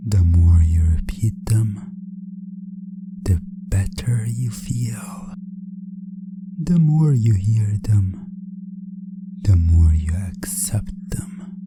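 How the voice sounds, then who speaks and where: A man speaking softly in a breathy, often whispered ASMR roleplay as a calm, controlling hypnotist teacher, deliberate and repetitive. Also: on the low side. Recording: music bed under the voice. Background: music.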